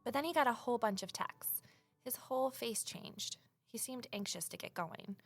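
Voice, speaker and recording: A woman's voice: on the high side, animated, moderate pace, soft background music. An actress playing an earnest, self-doubting young woman sleuth in an audio drama, speaking in a wry, conversational way. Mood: rueful, self-mocking. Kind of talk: naturalistic audio drama acting and first-person narration.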